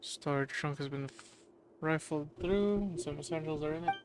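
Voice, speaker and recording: A man's voice: a little high, normal speed, heavy background noise. A man streaming a game, casually muttering and reading along as he plays. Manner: mumbled, offhand reading-along. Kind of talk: casual commentary while playing.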